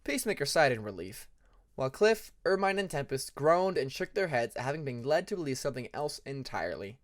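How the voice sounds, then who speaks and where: A narrator reading fan fiction aloud in an expressive audiobook style. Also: mid-range voice, brisk.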